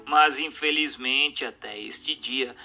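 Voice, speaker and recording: A man's voice: mid-range voice, slow pace, creaky, bright, forceful tone, faint music behind. A man reading a doctrinal text as dramatic, formal, declamatory scripted narration. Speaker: narrator of an audiobook radio drama.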